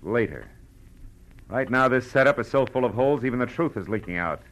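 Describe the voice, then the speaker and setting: An actor playing a tough, wry American private detective in 1940s radio style, clipped and wisecracking. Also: male voice, mid-range voice, animated, brisk, fairly bright tone, close to an everyday voice, poor audio. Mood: tough, pressing. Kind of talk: 1940s radio detective acting and first-person narration. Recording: old radio drama with music behind.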